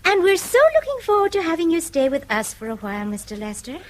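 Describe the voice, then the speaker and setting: Gushing, animated wealthy woman. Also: sits high, fast talker. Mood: brisk, confident. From North America. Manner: effusive, chatty, socially confident. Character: wealthy, gushing, outspoken North American married woman. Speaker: actor in a radio play. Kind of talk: British radio drama acting.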